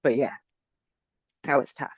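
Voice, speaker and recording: A woman co-hosting a casual podcast chat, talking in an animated, emphatic way and getting motivational. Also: measured pace, no echo, dull audio. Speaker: podcast co-host.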